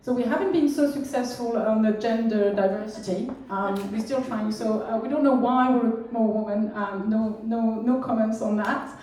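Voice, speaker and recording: A woman with a mellow, soft tone hosts the evening, speaking loosely and hesitantly with lots of fillers. She is picked up from afar in a very echoey room. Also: on the high side, moderate pace, hardly any pauses, a little hiss or hum. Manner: casual, hesitant, many fillers. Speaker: event organizer. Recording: echoey room, distant mic.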